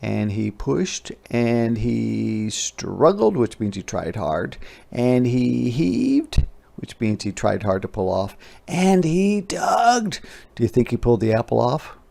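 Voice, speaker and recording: Slow-paced man with a creaky voice, reading aloud. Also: mid-range voice, very animated, dark tone. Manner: explanatory, asks listeners questions, stretches key words. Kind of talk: interactive children's read-aloud.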